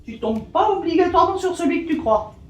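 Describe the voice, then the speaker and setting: A middle-aged woman guest chatting casually about how she found her tastes, lively and self-assured. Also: very high-pitched, quick pace, echoey room, far from the mic, soft background music. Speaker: guest, dominant partner. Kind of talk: casual chat.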